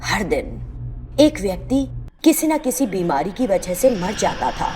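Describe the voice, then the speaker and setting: A woman's voice: mid-range voice, big pitch swings, unhurried, background noise. A woman narrating a scripted moral tale as the storyteller.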